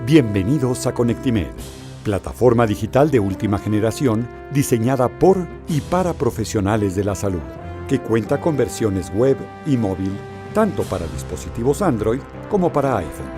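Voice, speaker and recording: A man reading a scripted promotional voice-over in a smooth, polished way. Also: fairly low pitch, big pitch swings, moderate pace. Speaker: promo narrator. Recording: voice-over over clear music.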